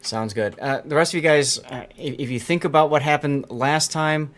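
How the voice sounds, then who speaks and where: A man's voice: fairly high pitch, normal speed, fairly bright tone. A man hosting a game tournament on his stream, chatting casually with a co-host and the audience, rambling with fillers.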